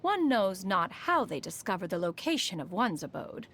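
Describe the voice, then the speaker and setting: A woman's voice: mid-range voice, very animated, normal speed, a bit bright. A woman playing a proud, refined female immortal in a video game, speaking in a formal, stately manner, aloof yet fond beneath it. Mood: haughty, bemused. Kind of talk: scripted video game character monologue.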